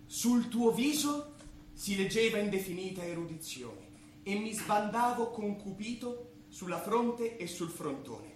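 An actor playing a bashful, pretentious young amateur poet in a stage comedy, halting and self-conscious. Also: male voice, high voice, very animated. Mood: earnest declaiming. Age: young adult. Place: theatre stage. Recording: echoey theatre recording, faint noise.

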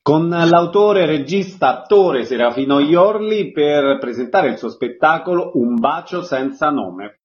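Higher-pitched male radio host. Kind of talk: radio theatre show presenting and interviewing. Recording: slightly dull radio audio.

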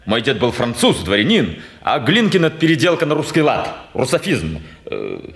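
An actor playing a vain, bored petty official who puts on gentlemanly airs, speaking in an affected, halting way. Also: male voice, mid-range voice, huge pitch swings, gravelly, full character voice. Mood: bored, conceited. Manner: affected, hesitant, conceited. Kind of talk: 1950s Russian stage drama acting. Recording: roomy old theatre recording.

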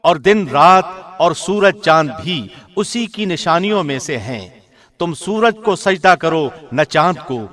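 Higher-voiced man, formal solemn scripture reading. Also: a bit bright. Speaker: translation reader, radio host.

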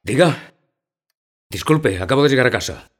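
A man's voice: mid-range voice, lively intonation, moderate pace, slightly rough. An actor playing a worried, subdued father in a radio drama, speaking tersely and soothingly. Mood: puzzled, wary. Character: worried father of a hospitalised boy, quietly reassuring.

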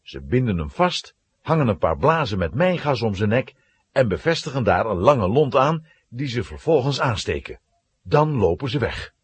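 A man's voice: mid-range voice, sing-song, moderate pace, husky, dry recording. A man narrating a scripted children's audio play in a dramatic storytelling manner.

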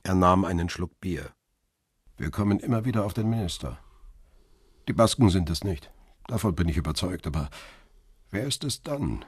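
A man's voice: low-pitched, lively intonation, moderate pace, long pauses, husky, dry recording, clean studio sound. A man narrating a crime radio play in the first person and playing its brooding lead.

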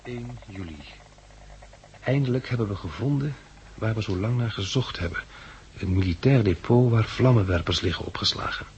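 An actor playing a weary, practical farmer and survivor in a 1960s Dutch radio drama, with a husky, rough-edged voice. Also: male voice, on the low side, moderate pace, lo-fi sound, background noise, close to an everyday voice. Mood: sober, reflective.

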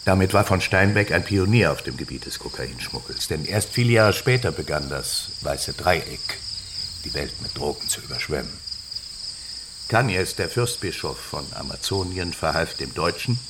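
Grave, low-voiced padre. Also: male voice, expressive, moderate pace. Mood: grave, measured. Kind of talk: radio drama acting, measured storytelling. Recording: clear insect-filled ambient noise behind voice.

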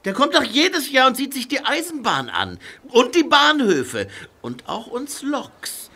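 A man's voice: very high voice, huge pitch swings, measured pace, bright, forceful tone, full character voice. An actor playing a cheeky, playful little male tank engine in a children's audio drama, teasing and chirpy.

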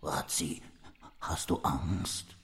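An actor playing a timid, frightened mole in an animal story, speaking hesitantly. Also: male voice, rumbling low, steady tone, very slow, full character voice. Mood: frightened, awed. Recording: loud music behind the voice.

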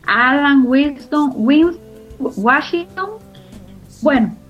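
A woman's voice: sits high, lively intonation, drawn-out words. Interview guest, woman, enthusiastic conversational talker, slightly muffled sound with music underneath. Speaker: interview guest, radio drama collaborator. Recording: slightly dull audio over music.

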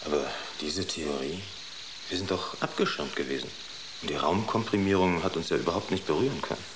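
A man playing a level-headed space crewman with a low voice. He explains things soberly and with care, in a roomy radio drama sound with clear noise. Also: natural voice. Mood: concerned, reasoning. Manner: measured, explanatory. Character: sober, reasoning male spaceship crew member planning. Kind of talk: German radio drama acting.

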